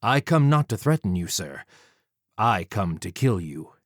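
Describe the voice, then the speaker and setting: A man voicing a resolute demigod hunter of murderers in an audiobook, measured and accusing, with a dry, deadpan edge. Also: lowish voice, dry recording. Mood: cold, droll.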